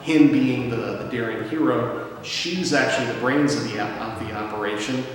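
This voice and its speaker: A man's voice: medium pitch, moderate pace. Young guy chatting about radio theatre, wry, lots of restarts, echoey hall. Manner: casual, wry, many restarts. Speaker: radio theatre writer and performer. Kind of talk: documentary interview.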